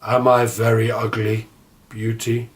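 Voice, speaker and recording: Guy doing a big gentle storybook beast, polite, plaintive, kinda lovesick and sad. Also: on the low side, level tone, unhurried, natural voice. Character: gentle, sad, lovelorn male beast monster.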